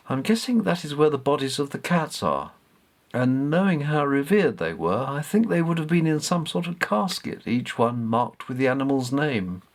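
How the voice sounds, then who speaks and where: A man voicing a learned, modest old man in a storybook, explaining things in a measured way. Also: medium pitch.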